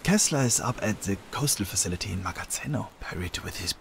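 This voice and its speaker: An actor playing a smug, sly, theatrical army officer turned informant in a video game. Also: male voice, deep voice, expressive, fast, vocal fry, fairly bright tone, a little hiss or hum, full character voice. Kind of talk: video game cutscene acting.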